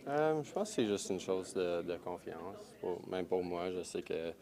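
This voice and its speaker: Deep, flat, gravelly hockey player. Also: male voice, crowd noise. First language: English. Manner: halting, repeats words, many fillers. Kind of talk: press scrum answers.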